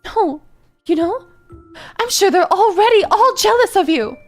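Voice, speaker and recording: A woman's voice: very high voice, expressive, unhurried, soft background music. A woman playing an anxious mother in a drama, coaxing and fussing over her troubled eldest son with pleading insistence. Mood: pleading, insistent. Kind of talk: dramatic character acting.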